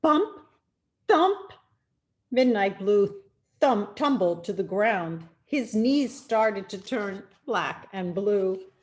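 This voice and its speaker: A woman reading a children's picture book aloud in an expressive, deliberate storytelling manner with dramatic pauses. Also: mid-range voice. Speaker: storyteller, rabbi.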